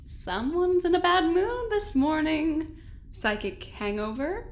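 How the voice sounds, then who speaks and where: A high-voiced woman in charge in an audio drama, breezy and teasing. Her delivery is very lively in pitch, arch and mock-sweet, with a condescending edge. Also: slow pace, heavily acted. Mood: bright, teasing. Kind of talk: naturalistic audio drama acting.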